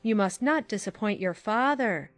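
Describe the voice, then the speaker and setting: A woman playing a sensible, kindly aunt in an audiobook drama, giving grave advice in a measured, admonishing manner. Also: medium pitch, lively intonation, no room sound, faint music behind, close to an everyday voice. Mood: earnest caution.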